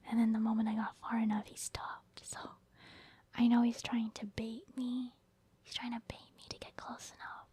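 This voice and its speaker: A woman giving casual, rambling ASMR commentary on her video game play in a breathy whisper, explaining her thought process. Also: on the high side, level tone, normal speed, dark tone, no echo. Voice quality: breathy, mostly unvoiced whisper. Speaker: gaming ASMR creator.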